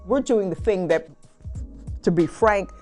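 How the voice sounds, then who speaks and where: A woman's voice: mid-range voice, lively intonation, unhurried, a little mellow, faint music behind. A middle-aged woman tenant speaking in a documentary interview, assured and explanatory. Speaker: tenant advocate. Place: indoor room.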